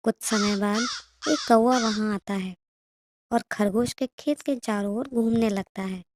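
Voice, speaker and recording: A woman's voice: mid-range voice, a little slow, a little mellow, dry recording. A woman reading scripted narration as the storyteller of a cartoon tale.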